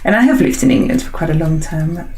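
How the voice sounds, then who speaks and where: A woman's voice: low-pitched, expressive, quick pace, darkish tone. A woman with a Dutch accent, an artist and host chatting casually while she sketches, musing and meandering with frequent ums and restarts. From Netherlands.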